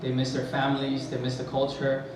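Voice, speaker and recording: A man's voice: medium pitch, monotone, noisy background. Hesitant man with echoey hall sound. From Mexico. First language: Spanish. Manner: hesitant, many pauses and fillers, mixes in Spanish. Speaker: high school educator. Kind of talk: personal storytelling at a live event. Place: event hall. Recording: echoey hall, mic far off.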